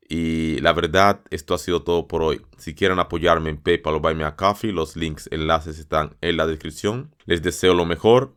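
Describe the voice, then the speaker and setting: Deep-voiced man teaching his own language. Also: fairly bright tone, clear, resonant tone. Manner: repeats phrases for emphasis; addresses listeners directly and encouragingly. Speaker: Spanish teacher and podcast host. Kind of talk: podcast monologue for learners.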